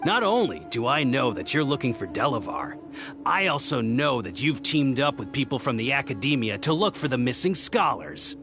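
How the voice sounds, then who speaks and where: An actor playing a sly, mocking, falsely friendly tavern schemer with a theatrical, taunting manner in a video game. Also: male voice, mid-range voice, very animated, moderate pace, over music. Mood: gloating, mocking. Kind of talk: anime-style video game voice acting.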